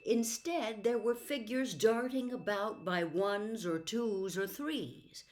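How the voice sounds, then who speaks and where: A woman reading a classic story aloud as expressive, measured audiobook narration. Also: mid-range voice. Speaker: audiobook reader.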